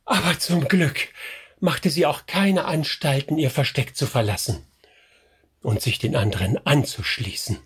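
An actor playing a troubled medieval youth, narrating gravely and dramatically in a radio play. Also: male voice, fairly high pitch, a little slow. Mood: anxious.

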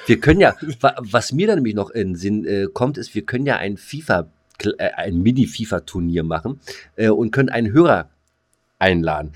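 Male podcast co-host. Lowish voice, rapid delivery, rambling slangy banter with crude edges. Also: animated.

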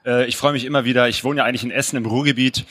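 A man's voice: mid-range voice, steady tone, racing, a bit bright, slight background noise. A man from the Cologne area co-hosting a live motorcycle travel podcast on stage, easygoing and joking. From Germany, Cologne area. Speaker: podcast host.

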